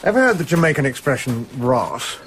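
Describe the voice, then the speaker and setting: A suave English colonial secretary in a radio play. He has a mid-pitched voice and speaks with measured, dry courtesy. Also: big pitch swings, fairly bright tone, slight background noise. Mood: drily confiding. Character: suave, obliging, drily candid colonial secretary. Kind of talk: radio drama acting.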